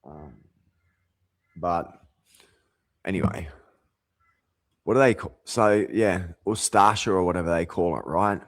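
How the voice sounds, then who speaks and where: A man's voice: low-pitched, slow pace, pauses often, no room sound. A man delivering a rambling, profane rant as a vlogger. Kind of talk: rambling monologue.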